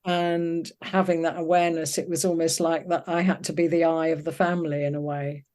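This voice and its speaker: An older woman with a low-pitched voice speaks as an interviewed poet. She is thoughtful and reflective, feeling her way through sentences with hesitations and rephrasing. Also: little pitch movement, brisk, a little mellow. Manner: thoughtful, hesitant, searching for words.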